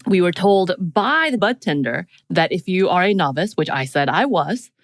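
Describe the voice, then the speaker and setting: A woman with a low-pitched voice tells a story in a relaxed, chatty podcast style. She acts out snatches of dialogue as she goes. The recording is dry, with hardly any room sound. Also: moderate pace, dry recording. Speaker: podcast co-host. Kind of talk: casual conversational storytelling.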